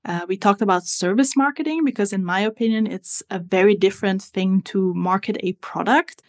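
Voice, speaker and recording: A woman's voice: medium pitch, moderate pace. A woman in her twenties from Italy with an Italian accent, a guest on a podcast, explaining her work step by step with frequent fillers. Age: 20s. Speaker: social media strategist guest. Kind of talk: podcast interview.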